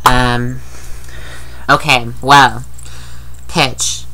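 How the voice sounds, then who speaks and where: A woman's voice: a bass voice, little pitch movement, drawn-out words, sharp tone. A woman with a nasal voice, talking in a rambling, candid video diary and swearing casually. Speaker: vlogger.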